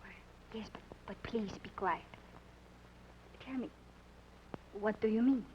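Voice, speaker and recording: A proper young senorita, voiced by an actress, speaks formally and keeps her voice low. The sound is a muffled old radio recording with light hiss. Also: a little slow, lightly acted. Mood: guarded. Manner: formal, hushed, guarded. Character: frightened young Latin American woman, proper and guarded. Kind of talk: 1940s radio acting.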